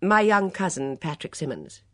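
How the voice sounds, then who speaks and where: A woman voicing a composed, brisk older lady of the house in an audiobook, firm and matter-of-fact. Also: on the low side, big pitch swings, dry recording. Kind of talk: audiobook character dialogue.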